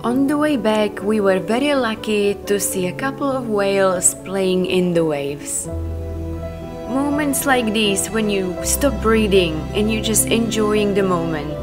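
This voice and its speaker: A woman's voice: medium pitch, animated, measured pace. A young woman hosting a travel vlog, narrating her trip in a cheerful, upbeat voice-over. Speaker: travel vlogger host. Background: loud background music.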